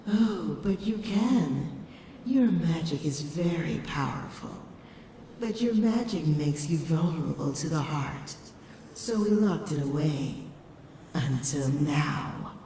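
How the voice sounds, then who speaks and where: Woman as a dark, echoing, deep-voiced shadow creature. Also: expressive, full character voice. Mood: ominous, coaxing. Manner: slow, coaxing, ominous. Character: sinister, seductive female shadow creature, manipulative tempter. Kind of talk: dramatic comic dub acting. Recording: echoing hall reverb, distant, faint noise.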